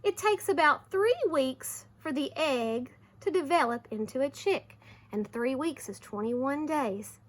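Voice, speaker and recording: A woman teacher reading aloud to young children, expressive, with simple explanations along the way. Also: sits high, measured pace.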